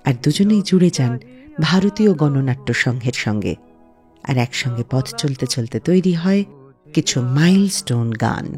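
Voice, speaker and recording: Expressive woman radio host, lively pitch. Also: moderate pace, soft background music. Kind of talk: scripted radio narration introducing songs.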